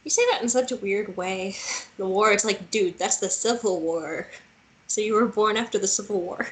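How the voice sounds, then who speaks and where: A woman's voice: medium pitch, moderate pace, lightly acted, rough recording. Casting: woman to play a chatty, playful young female lead with a vulnerable streak, casual delivery, romantic fantasy drama read. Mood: joking, teasing. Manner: casual, colloquial, teasing.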